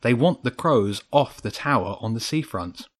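A man's voice: medium pitch, expressive, moderate pace, natural voice. A man voicing an earnest, worried boy adventurer in a children's audiobook, a little hesitant.

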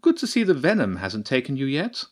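A man's voice: mid-range voice, wildly swooping pitch, fairly quick, voice pushed up. A man voicing an impatient, sardonic female badger scientist in a storybook reading, dry and mocking. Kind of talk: audiobook character voice.

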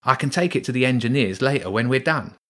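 A man's voice: medium pitch, lively intonation, quick pace, sharp tone, pitched above the performer's own voice. Guy putting on a pushy rat in overalls, greedy, cocky, voice pushed way up. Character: pushy cockney male rat workman, greedy.

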